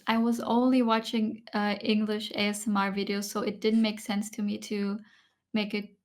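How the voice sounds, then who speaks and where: Soft-spoken German-accented woman, flat. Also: mid-range voice, moderate pace. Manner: hesitant, many fillers, thoughtful. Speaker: guest creator. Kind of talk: soft-spoken interview chat.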